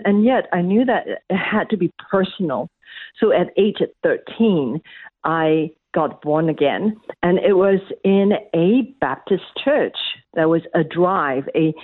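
低沉女声，来自马来西亚，电话音质. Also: female voice, on the low side, a little slow, dry recording. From Malaysia. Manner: hesitant, many fillers and restarts. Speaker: interview guest, college academic. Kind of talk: interview answers. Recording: tinny phone line.

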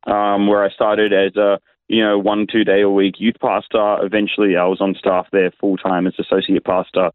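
A man's voice: on the low side, monotone, normal speed, smooth, dry recording. An Australian man, a pastor being interviewed over a remote line, telling his own story in casual conversation. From Sydney, Australia. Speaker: interview guest, youth pastor. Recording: tinny narrow phone-like line.

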